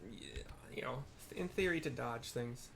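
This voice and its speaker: Male gamer, medium-pitched voice, easygoing and informal, describing the action as he plays. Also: animated, unhurried, creaky, fairly bright tone, a little hiss or hum. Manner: laid-back, descriptive, informal. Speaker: gamer narrating a playthrough. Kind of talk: casual gameplay commentary. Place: indoors at a computer.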